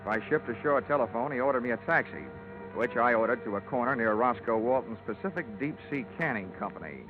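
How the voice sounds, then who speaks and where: A man's voice: mid-range voice, brisk, natural voice. A man playing a tough, self-assured insurance investigator in a radio drama, narrating and talking in a dry, sardonic, hard-boiled way. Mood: narrating, brisk. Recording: tinny old radio recording with music.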